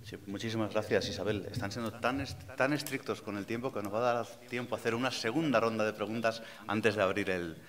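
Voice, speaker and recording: A man moderating a seminar panel, formal and measured as he thanks speakers and asks questions. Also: fairly low pitch, a bit bright.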